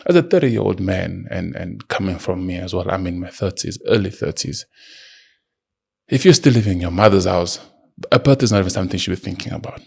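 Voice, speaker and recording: A man's voice: low-pitched, animated, fairly quick, gravelly, breathy edge. A man in his early thirties hosting a solo podcast, lecturing emphatically and speaking straight to the listener. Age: early 30s. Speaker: podcast host. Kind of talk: solo motivational monologue.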